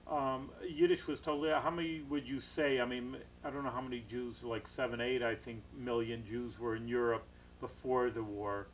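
A man's voice: medium pitch, steady tone, moderate pace, a little hiss or hum, low-quality recording. A middle-aged man, a rabbi hosting a TV studio interview, speaking conversationally and searching for words. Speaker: rabbi, host.

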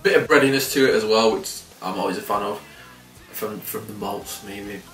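A man in his thirties casually reviewing a beer to camera, relaxed and swearing freely with frequent chuckles. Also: on the low side, moderate pace, soft background music. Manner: laid-back, swears casually, chuckles often. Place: small indoor room by window blinds. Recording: roomy and slightly distant.

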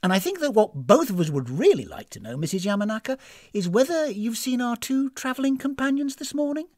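A woman voicing a suspicious, sharp-tongued woman traveller in a storybook, snappish and sardonic. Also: fairly high pitch, big pitch swings, fairly quick, dry recording, clean studio sound, voice pushed up. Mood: brisk. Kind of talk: storybook character voice.

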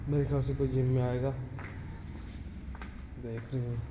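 A man's voice: mid-range voice, flat delivery, normal speed. A man chatting casually to viewers in short, informal remarks, recording a handheld vlog on a phone indoors in a gym. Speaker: vlogger. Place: indoors in a mostly empty gym. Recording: muffled, noisy phone recording.

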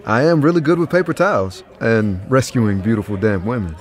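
An actor playing an easygoing, flirtatious scientist in an audio drama, teasing and earnest. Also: male voice, mid-range voice, fairly quick, natural voice. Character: easygoing, flirtatious male scientist dating a woman.

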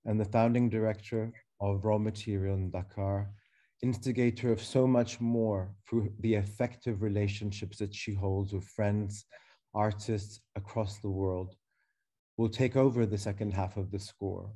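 A man in his thirties reading a prepared keynote as curator over a webcam video call, slow, measured and reflective, with pauses. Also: lowish voice, little pitch movement, dark tone. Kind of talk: reading a prepared lecture text. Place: dark room indoors.

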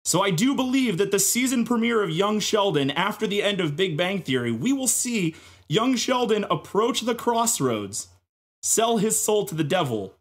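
A man's voice: sits high, sing-song, moderate pace, breathy edge. A man on a podcast panel spinning comic theories in a mock-serious, dramatic, emphatic way.

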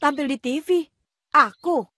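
A woman voicing a clumsy, excitable cartoon schoolboy who is boastful, whiny and easily flustered. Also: high voice, a little slow, noisy background. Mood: eager. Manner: whiny, exclamatory. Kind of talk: animated cartoon dub acting. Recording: music behind the voice.

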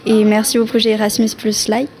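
A woman's voice: on the high side, little pitch movement, quick pace, a crowd in the background. A young woman student speaking about a school exchange in an interview in a school library, hesitating with fillers. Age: young adult. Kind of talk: interview testimony.

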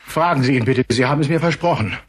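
A man's voice: medium pitch, fast, breathy edge, lightly acted. A man playing a frightened, remorseful young burglar in a German radio play, pleading as he talks.

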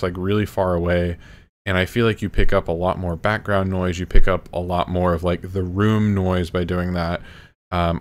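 A man in his thirties reviewing his microphone to a webcam in a small home room, rambling casually and correcting himself. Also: low-pitched. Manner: rambling, self-correcting, apologetic asides. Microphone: studio condenser mic close to face.

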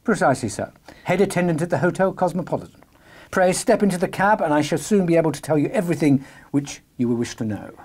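A man acts a shrewd gentleman detective in a storybook drama. His husky voice sits fairly high and moves with lively swings, courteous, knowing and sure of command. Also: fast talker. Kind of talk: dramatised audiobook acting.

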